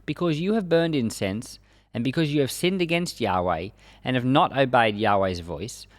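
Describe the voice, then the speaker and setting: Male scripture reader. Solemn and emphatic, widely varied pitch, very dry close sound. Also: animated, normal speed, no room sound. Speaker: Bible reader and teacher.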